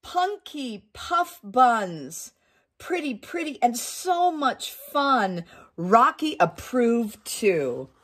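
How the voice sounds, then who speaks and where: Very slow, expressive woman storyteller. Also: fairly high pitch. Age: middle-aged. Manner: expressive, drawn-out phrasing with questions to young listeners. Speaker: community storytime reader. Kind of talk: animated picture-book reading for children with chatty asides. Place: small wood-panelled room indoors.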